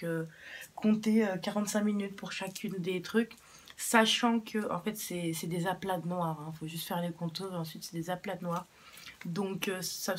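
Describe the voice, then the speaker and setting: A woman's voice: lowish voice, a little hiss or hum. A young woman talking casually to camera in a vlog. She speaks frankly, with plenty of fillers and the odd swear word. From Cuba. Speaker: vlogger.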